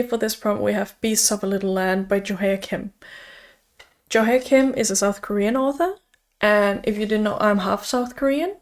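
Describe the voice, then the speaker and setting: A young woman whose first language is Danish talks through her reading plans in a loose, upbeat vlog chat. Her voice sits at an ordinary middle pitch for a woman, and she leans on little fillers as she goes. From Denmark. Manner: chatty, upbeat, uses fillers like "like" and "so". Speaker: book vlogger. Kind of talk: casual chat.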